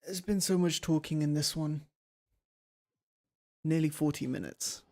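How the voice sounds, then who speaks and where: A man's voice: fairly high pitch, fairly even intonation, measured pace, dark tone, slight background noise. A man streaming a video game, giving casual commentary as he plays. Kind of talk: casual commentary while playing.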